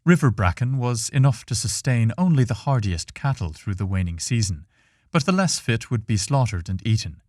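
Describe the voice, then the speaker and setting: A man narrating a scripted fiction story in a measured, solemn and dramatic way. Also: medium pitch, very animated, darkish tone, no room sound, studio quality. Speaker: narrator reading a fiction podcast.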